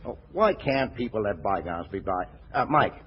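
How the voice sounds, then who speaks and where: Actor as grumbling gangster boss, swooping old-radio delivery. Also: male voice, lowish voice, expressive, normal speed, lightly acted. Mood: grumbling, uneasy. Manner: slangy tough-guy talk, hesitant mutters. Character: gangster boss gone legitimate, grumbling, casually callous. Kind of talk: 1940s radio comedy acting. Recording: muffled, noisy 1940s radio recording.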